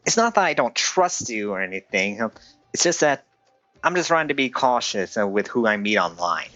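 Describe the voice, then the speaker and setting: A man chatting casually on a game livestream and giving advice in a hesitant, halting way. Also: medium pitch, a bit bright, dry recording, highs cut off. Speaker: game live streamer. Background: game music.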